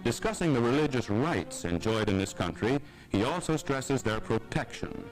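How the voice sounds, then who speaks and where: A man with an American accent delivering formal, measured 1950s newsreel narration. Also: mid-range voice, expressive, rough recording. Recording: vintage newsreel soundtrack, faint music. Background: faint music.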